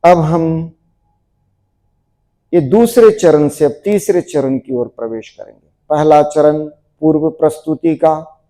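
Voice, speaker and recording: A man leads a guided relaxation for a group. His voice is mellow and sits a little higher than average. He speaks very slowly, giving measured instructions and repeating key phrases. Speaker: yoga nidra teacher.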